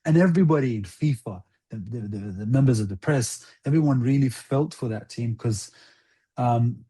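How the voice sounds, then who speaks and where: A man giving an earnest interview as a guest, speaking deliberately and haltingly, repeating phrases for emphasis. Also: mid-range voice, dark tone, husky. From outside Iran. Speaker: interview guest, former national football team interpreter.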